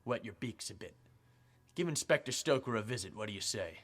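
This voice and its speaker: A man's voice: lowish voice, lively intonation, a little fast, a bit bright. A man playing a cold, menacing gang boss with clipped, controlled delivery in an old-time radio serial. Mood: cold menace. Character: cold, menacing male crime boss; also a polite butler.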